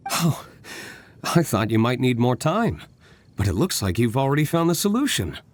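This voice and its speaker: An actor playing a composed, courteous gentleman winery owner in a video game, speaking in a formal, measured way. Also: medium pitch, big pitch swings, slight background noise, lightly acted. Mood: pleased, businesslike. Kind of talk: scripted game dialogue.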